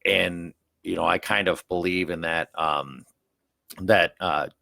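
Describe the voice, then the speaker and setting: A man with a Midwestern American accent, a guest on a business podcast, speaking in a relaxed, reflective way and thinking aloud. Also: sits low, slow, vocal fry, no echo. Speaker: podcast guest, staffing agency rep. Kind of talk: casual business chat. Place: studio.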